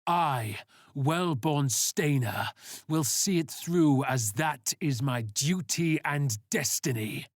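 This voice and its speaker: A man's voice: a little high, lively intonation, slow, dry recording, studio quality. A man voicing a stern, pompous cult priest who speaks in a solemn, grandiose manner. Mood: imperious.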